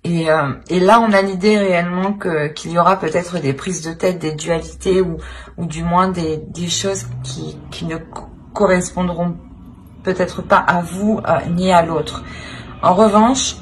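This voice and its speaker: Woman w/ lowish voice doing a tarot reading, kinda hesitant, keeps rewording stuff, bit of background noise. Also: steady tone, measured pace. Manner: hesitant, searching, repeats and rephrases phrases often. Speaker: tarot reader, channel host. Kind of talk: improvised tarot card reading addressed to viewers.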